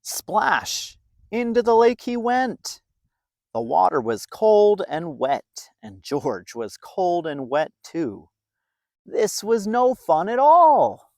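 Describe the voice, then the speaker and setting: A man narrating a children's storybook in an expressive, deliberate way, drawing out phrases for young listeners. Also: sits high, dry recording, clean studio sound. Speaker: storybook reader.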